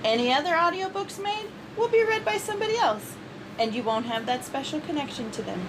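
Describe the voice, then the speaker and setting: A woman writer speaking carefully and deliberately, like an amateur reading her own work aloud. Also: high-pitched. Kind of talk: amateur announcement and audiobook narration. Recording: clear steady background noise.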